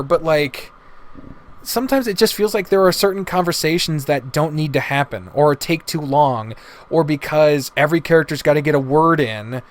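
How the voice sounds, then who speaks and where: Exasperated higher-voiced man ranting. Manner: blunt, heavily profane, exasperated delivery. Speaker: reviewer of visual novels. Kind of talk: casual opinionated rant.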